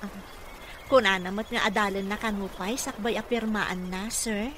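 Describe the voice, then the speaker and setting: A woman's voice: medium pitch, fairly even intonation, moderate pace, a bit bright, natural voice. A woman with an Ilocano accent playing a polite, patient caregiver in a radio comedy drama. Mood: polite, hesitant. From Philippines. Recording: clearly noisy background ambience.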